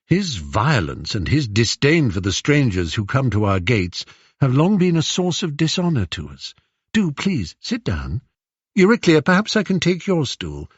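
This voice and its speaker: A man's voice: mid-range voice, wildly swooping pitch, unhurried, husky, no echo, muffled recording, pitched above the performer's own voice, heavily acted. A man voicing a gracious, weary queen in a storybook, speaking with formal, regal courtesy. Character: gracious, weary, dignified queen, a grown woman.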